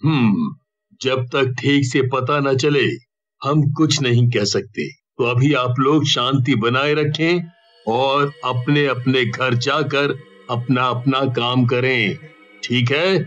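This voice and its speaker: An actor playing a calm, authoritative, cautious village chief in a horror story. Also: male voice, medium pitch, slow pace, lo-fi sound. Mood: calm authority. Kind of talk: animated horror story dialogue.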